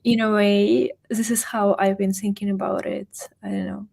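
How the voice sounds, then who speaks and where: A woman's voice: medium pitch, little pitch movement, moderate pace, creaky, mellow. A woman hosting a webinar interview over a video call, responding supportively and a little tentatively. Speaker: webinar host.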